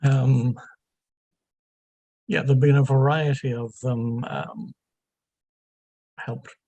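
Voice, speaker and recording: An older Dublin man with an Irish accent speaks slowly, pausing often with ums. His voice is gravelly and a little husky, and the recording is dry and close. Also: medium pitch, dark tone, dry recording, low-quality recording. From Ireland. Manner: halting, frequent ums, self-deprecating asides. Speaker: guest, software consultant. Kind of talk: unscripted interview answers.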